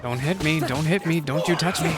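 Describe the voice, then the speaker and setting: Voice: mid-range voice, fairly quick. A game streamer giving casual, chatty, exclamatory live reactions while playing. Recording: game music behind the voice.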